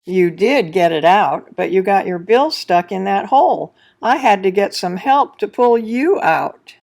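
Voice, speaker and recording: A woman voicing an excitable young boy pelican in an expressive read-aloud of a children's picture book. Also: mid-range voice, a little slow, vocal fry. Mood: playful. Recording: music behind the voice.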